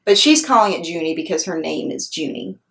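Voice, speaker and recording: A woman's voice: lowish voice, lively intonation, brisk, vocal fry, a bit bright. Woman leading an interactive children's read-aloud. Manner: explanatory, teacherly, addresses listeners directly.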